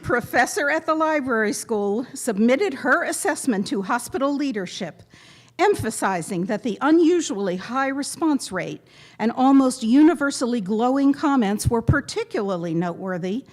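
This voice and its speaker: A woman giving a prepared memorial tribute to a gathering, speaking in measured, deliberate phrases with pointed pauses. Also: fairly high pitch, lively intonation. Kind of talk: prepared eulogy read aloud to a gathering.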